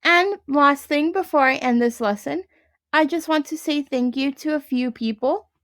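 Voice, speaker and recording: A woman's voice: high voice, moderate pace, a bit bright. A young adult woman, a first grade teacher, reading a picture book aloud to her class and talking to her students.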